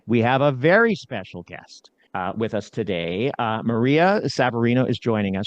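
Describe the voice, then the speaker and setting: A man's voice: mid-range voice, creaky, no room sound. A middle-aged man hosting a podcast interview, chatty and self-deprecating, prone to interrupting. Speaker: podcast host. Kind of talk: casual interview chat. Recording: dull, slightly lo-fi sound.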